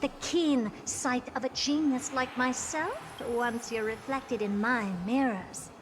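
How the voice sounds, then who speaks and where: A woman's voice: high voice, slow pace, background noise. A woman playing a condescending, self-assured genius sorceress in a video game, with theatrical delivery. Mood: haughty. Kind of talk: video game character acting. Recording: game music behind the voice.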